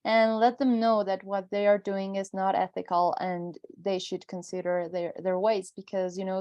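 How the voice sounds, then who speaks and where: A woman's voice: medium pitch, darkish tone. A woman talking conversationally and a little hesitantly as a panelist in an online discussion.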